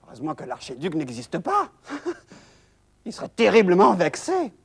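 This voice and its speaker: An actor playing a fussy, solicitous snob of a gentleman, formal and affected, in a children's television comedy. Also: high-pitched, wildly swooping pitch, measured pace, gravelly, a little hiss or hum, exaggerated voice. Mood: amused.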